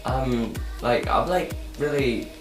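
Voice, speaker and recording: A teenage boy talking to camera in a casual vlog, halting and repeating himself with many fillers. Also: medium pitch. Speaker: vlogger. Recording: roomy echo with electronic music behind.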